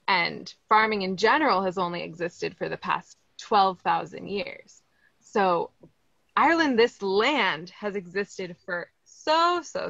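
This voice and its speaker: A woman's voice: medium pitch, creaky, sharp tone, no echo. A woman in her thirties from Los Angeles with an American accent, running an upbeat online plant lesson and asking her audience questions. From Los Angeles, California. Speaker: plant educator. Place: greenhouse, indoors. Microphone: video call, laptop or webcam. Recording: slightly dull video call audio.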